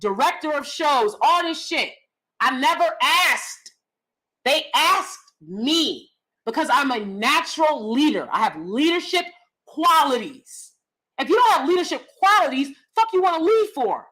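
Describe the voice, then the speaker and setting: A young adult woman hosting a casual commentary vlog, loud and blunt, cursing freely. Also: high-pitched, sing-song, unhurried, low-quality recording. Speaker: vlog host.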